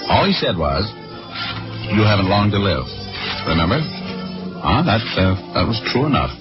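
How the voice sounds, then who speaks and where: Low-voiced, wry radio detective. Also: male voice, big pitch swings, moderate pace. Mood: rueful, self-mocking. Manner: hesitant, wry, offhand. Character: wry, cocky private detective narrating his case. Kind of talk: 1940s radio acting. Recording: muffled 1940s radio broadcast. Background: music.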